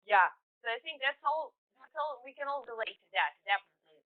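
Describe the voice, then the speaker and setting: A woman's voice: sits high, lively intonation, a little fast. A woman interviewing a musician, hesitant, with frequent fillers and restarts. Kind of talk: conversational interview. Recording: tinny phone-line audio.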